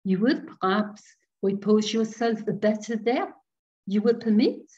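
A woman voicing a timid, kindly little elderly Breton priest in a storybook reading, speaking gently and formally. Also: sits high, expressive, highs cut off, voice pushed up. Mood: earnest, pleased. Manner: gentle, halting, formal phrasing.

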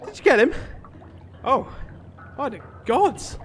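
High, lively self-important coward played by a man. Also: slow, slight background noise, exaggerated voice. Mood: alarmed. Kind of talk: audio comedy-drama acting.

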